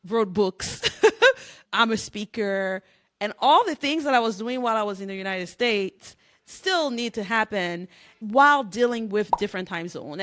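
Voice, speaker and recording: A middle-aged woman from Cameroon talks straight to camera as a business coach, chatty and lively. Her voice sits at a middle pitch. She laughs now and then. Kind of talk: casual talk to camera.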